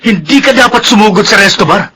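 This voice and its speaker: An actor playing a defensive, self-justifying young husband who cheats, in a radio soap. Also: male voice, sits high, lively intonation, fast, sharp tone, slight background noise. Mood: angry, defensive. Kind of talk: Philippine radio soap drama acting.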